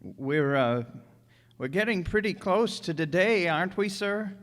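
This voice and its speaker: An actor playing a naive, eager, deferential apprentice angel in a radio play, with folksy wide-eyed delivery. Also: male voice, high voice, expressive, measured pace, fairly bright tone, exaggerated voice. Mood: anxious. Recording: echoey hall, long reverb.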